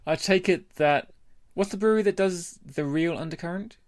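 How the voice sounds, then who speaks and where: A man's voice: high voice, moderate pace, darkish tone, dry recording. A man co-hosting a podcast in casual, conversational chat. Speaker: podcast co-host.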